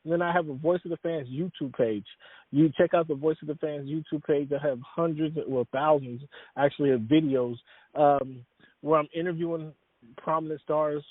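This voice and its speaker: A man's voice: fairly high pitch, fairly even intonation, normal speed, no echo. Rambling man on a tinny phone line. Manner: rambling, many fillers and restarts. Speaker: guest, sports writer. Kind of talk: casual interview talk.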